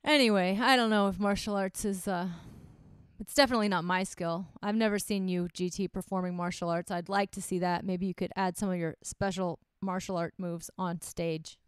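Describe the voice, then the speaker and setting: A woman's voice: on the low side, steady tone, normal speed, clear, resonant tone, no room sound. A woman co-hosting a comedy podcast, bantering casually with a teasing, sarcastic manner. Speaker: comic and podcast co-host.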